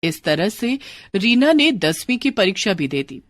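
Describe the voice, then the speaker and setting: A woman narrating a moral storybook tale in a scripted, expressive way. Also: medium pitch, a little slow, dry recording. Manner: expressive, acts out all characters.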